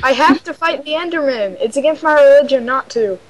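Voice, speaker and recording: A goofy young woman is joking through a multiplayer game with friends. Her voice is high and very lively, leaping up and down in pitch. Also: brisk, a loud crowd, rough recording. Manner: goofy, silly outbursts. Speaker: gaming friend. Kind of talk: casual multiplayer gaming chat.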